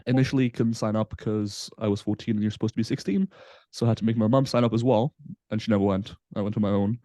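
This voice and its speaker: A man in his twenties from Belgium, a guest on a casual podcast interview, explaining in a relaxed way with frequent fillers. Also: fairly low pitch, fast, dry recording, clean studio sound. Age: 20s. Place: Southampton, UK.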